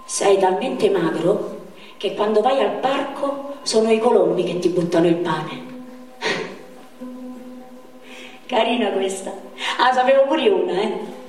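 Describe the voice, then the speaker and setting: A woman's voice: mid-range voice, lively intonation, moderate pace, darkish tone, far from the mic, faint music behind, natural voice. A woman playing a caring companion who coaxes a friend to eat and teases him with a run of jokes, in a stage drama played on radio. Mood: teasing. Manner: coaxing, then rattling off jokes. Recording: very echoey stage heard from afar.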